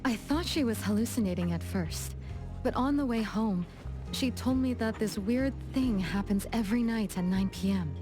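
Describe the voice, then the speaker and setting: A woman's voice: medium pitch, normal speed, lightly acted. A woman voicing a poised, gracious young lady in a video game, composed and polite with a lightly teasing touch. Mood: thoughtful. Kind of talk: game dialogue acting, storytelling. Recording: music behind the voice.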